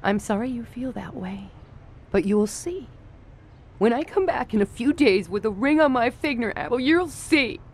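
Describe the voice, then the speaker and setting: An actress playing a world-weary nightclub torch singer in a noir radio drama, wistful, restrained and stubborn. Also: female voice, a little high, animated, moderate pace, slight background noise. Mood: earnest, defiant.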